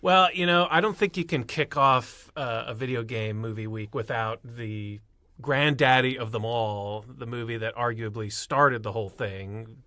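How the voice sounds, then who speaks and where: A man's voice: medium pitch, normal speed, sharp tone, no echo. A man giving enthusiastic, explanatory commentary in a casual panel chat about films. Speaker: podcast panelist.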